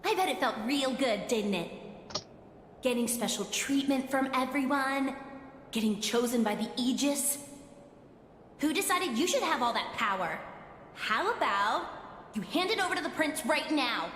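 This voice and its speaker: An envious young woman taunts scornfully in a game cutscene. Her high voice is sarcastic and challenging, carried in a long echo. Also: expressive, unhurried, long pauses, fairly bright tone, slight background noise. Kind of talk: video game cutscene acting. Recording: echoey game cutscene, long reverb, faint ambience.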